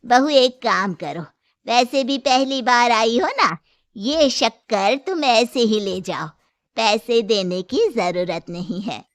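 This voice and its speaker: A woman playing a gentle, patient elderly mother-in-law who keeps a small shop in a Hindi moral story. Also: fairly high pitch, animated, slow pace, no echo. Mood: kindly, indulgent.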